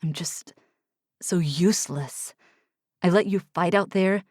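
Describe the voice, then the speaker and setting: A woman voicing a young male soldier with a low, subdued voice, frustrated and self-blaming in an audiobook. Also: takes it slowly, darkish tone, dry recording, clean studio sound. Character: young male soldier, guilt-ridden and restless.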